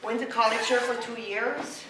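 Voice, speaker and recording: A very reverberant, noisy room recording carries the voice of a middle-aged woman with a Hawaiian local accent. She tells personal stories in an informal, unapologetic way, pitched a bit high, as a speaker addressing listeners. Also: steady tone, moderate pace. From Hawaii, United States. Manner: unapologetic, conversational, peppered with "right?" and "okay?". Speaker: speaker giving a talk. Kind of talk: informal personal storytelling to an audience. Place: university classroom. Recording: very echoey room, distant mic, clear noise.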